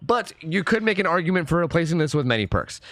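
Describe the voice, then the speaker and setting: Hoarse young man, chatty game talk. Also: on the high side, big pitch swings, fairly bright tone, dry recording. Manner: chatty, fast and filler-heavy. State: hoarse, partly lost voice. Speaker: gaming YouTuber and streamer. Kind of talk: casual gameplay commentary.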